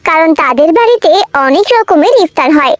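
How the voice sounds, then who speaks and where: A woman's voice: very high-pitched, animated, fairly quick, dry recording, studio quality. A woman reading scripted narration for a children's moral story cartoon.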